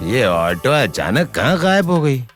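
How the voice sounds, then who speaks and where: A man's voice: mid-range voice, big pitch swings, unhurried, over music. A man voicing a pushy, desperate cartoon character who pleads insistently. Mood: puzzled. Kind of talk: cartoon voice acting.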